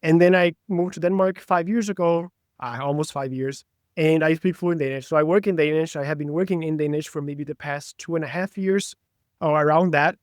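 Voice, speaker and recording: Brazilian man, native Portuguese, podcast guest; bright-pitched, dry close mic, keen and talkative. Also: a little fast, clean tone, no room sound. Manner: enthusiastic, talkative. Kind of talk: casual interview chat.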